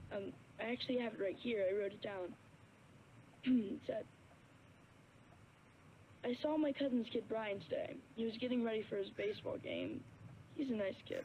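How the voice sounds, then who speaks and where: An earnest young caller, telling a hesitant story down a tinny phone line in a video game. The voice sits a little high, with faint hiss behind it. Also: female voice. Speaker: radio call-in caller.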